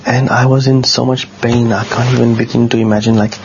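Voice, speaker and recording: A man with a bassy low voice, telling a personal story in a casual, earnest way, often halting and repeating words. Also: level tone. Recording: dull lo-fi sound with faint noise.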